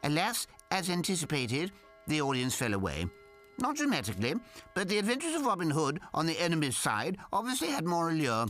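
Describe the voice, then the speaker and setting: An actor playing an eccentric, dry-witted English amateur astronomer, narrating in a wry, old-fashioned, well-spoken manner. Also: male voice, on the high side, huge pitch swings, brisk, a bit bright, soft background music. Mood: wry, rueful. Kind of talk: radio drama narration and dialogue.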